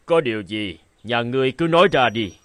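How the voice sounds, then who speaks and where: A man's voice: medium pitch, animated, fairly quick, fairly bright tone, no echo, close to an everyday voice. A man voicing a solemn, wise bearded god in a children's cartoon, lecturing in a stately manner. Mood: benevolent, solemn. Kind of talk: dubbed children's cartoon acting. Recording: prominent music under the voice.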